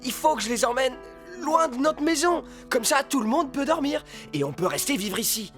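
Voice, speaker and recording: A man's voice: extremely high pitch, animated, normal speed, fairly bright tone. A man voicing a cocky, easily rattled fox who poses as a pet dog in a French children's cartoon, with exclamatory delivery. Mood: reassuring, defensive. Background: cartoon music.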